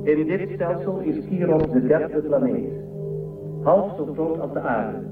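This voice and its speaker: A man's voice: fairly high pitch, expressive, a little slow. A man playing a formal, factual android aide in a science fiction radio drama, reporting with impersonal precision. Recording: distant echoey lo-fi band, music behind.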